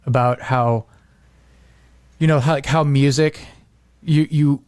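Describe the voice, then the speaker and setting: A man's voice: medium pitch, steady tone, takes it slowly, darkish tone, no room sound. A man chatting casually and agreeably, feeling his way through loose phrases peppered with "like". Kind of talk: casual chat.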